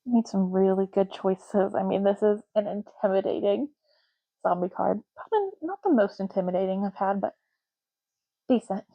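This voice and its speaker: A woman speaking softly and unhurriedly in an ASMR roleplay, playing a chatty, matter-of-fact official in short murmured phrases. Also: mid-range voice, normal speed, lo-fi sound. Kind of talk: soft-spoken ASMR roleplay.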